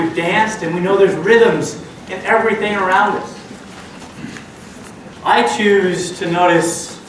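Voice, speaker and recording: Slow, very echoey man performing rhythmic storytelling. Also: high-pitched, a bit bright. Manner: measured, theatrical, short deliberate phrases. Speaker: contest speaker telling a tall tale. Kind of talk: rhythmic, rhyming humorous storytelling to a live audience. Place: indoor meeting room at a live event. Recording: very echoey hall, distant mic, background noise.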